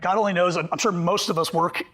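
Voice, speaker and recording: A man presenting a workshop to a group, talking fast and animated, slightly hoarse from losing his voice. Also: high voice. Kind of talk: live workshop talk to a group.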